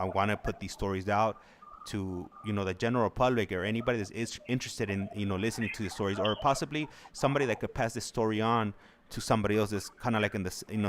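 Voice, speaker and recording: Man, deep voice, casual interviewer, hesitant and repetitive, noisy recording with clicks. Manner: halting, repetitive, hesitant delivery. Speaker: show host.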